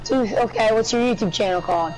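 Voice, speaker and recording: A woman's voice: fairly high pitch, fast talker, a little hiss or hum, rough recording. A woman gamer talking in casual voice chat during a match, teasing and sarcastic with her teammates. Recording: game music loud behind voice.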